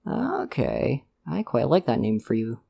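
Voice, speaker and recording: A man playing a supportive, gently protective dad in an ASMR roleplay, speaking in a hesitant, earnest, reassuring way to the listener. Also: mid-range voice, huge pitch swings, unhurried, gravelly, full character voice. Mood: careful, curious. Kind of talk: ASMR roleplay, one-sided conversation with listener.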